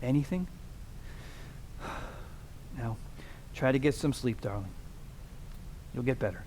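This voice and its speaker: A man playing a desperate, short-tempered family man in an amateur radio drama, halting and stammering. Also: medium pitch, a little mellow, slight background noise, close to an everyday voice. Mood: flustered, subdued.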